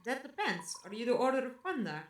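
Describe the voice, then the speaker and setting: A woman playing a determined, defiant young noblewoman in an audio drama, earnest and expressive in delivery. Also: on the high side, fairly quick. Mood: wary. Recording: noisy recording with clicks and sound effects.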